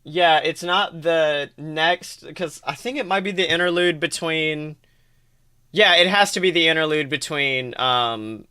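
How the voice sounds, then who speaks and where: A woman's voice: deep voice, measured pace, pushed and bright, clean tone. A woman co-hosting a podcast, chatting casually as a fan and swearing freely. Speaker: podcast co-host.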